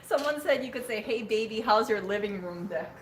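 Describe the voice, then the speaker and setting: A woman's voice: fairly high pitch, lively intonation, fairly quick, echoey room, slight background noise. A woman co-hosting a live stream, chatting casually and teasing her co-host, laughing often.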